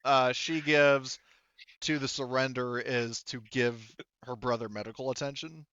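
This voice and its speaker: A man working as game master, thinking aloud haltingly and casually swearing as he narrates a tabletop roleplaying game. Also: medium pitch, steady tone, a bit bright, clear, resonant tone, no room sound, muffled recording.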